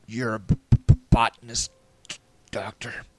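A man playing a gruff, blunt action hero in an audio drama. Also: fairly low pitch, lively intonation, takes it slowly. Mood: shivering, flustered.

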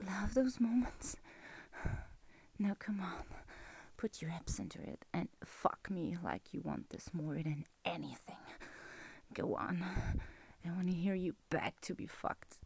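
A woman playing a seductive, teasing, dominant lover in an intimate audio roleplay, breathy and commanding, often dropping into a whisper. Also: fairly low pitch, a little mellow, no echo, full character voice. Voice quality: breathy, husky.

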